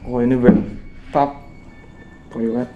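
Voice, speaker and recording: A man's voice: medium pitch, little pitch movement, slow pace, muffled recording, soft background music. A young man with a Jakarta-area Indonesian accent narrates a casual exploration vlog in a slangy, exclamatory way, dropping in English interjections. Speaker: vlogger exploring abandoned places. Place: abandoned villa at night, moving through rooms. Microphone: handheld camera microphone. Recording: clearly noisy handheld outdoor-indoor ambience.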